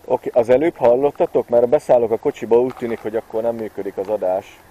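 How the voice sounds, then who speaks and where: A man in his forties giving a relaxed, chatty gadget review, pausing often with filler sounds, recorded on a camera mic. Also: mid-range voice, lo-fi sound, slight background noise. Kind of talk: casual product review vlog.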